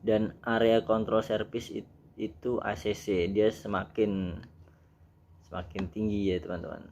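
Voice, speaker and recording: A man's voice: lowish voice, flat delivery, unhurried, creaky, a little mellow, a little hiss or hum. A man with an Indonesian accent giving an informal explainer lesson, reading out definitions and mixing in English technical terms as he addresses viewers as friends. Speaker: air traffic controller, YouTube presenter.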